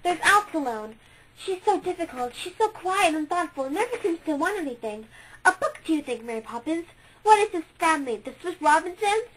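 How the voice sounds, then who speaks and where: A woman voicing Maya, an eager, delighted young star girl who talks in an excited, breathless way. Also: very high-pitched, a little fast, voice pushed up, rough recording.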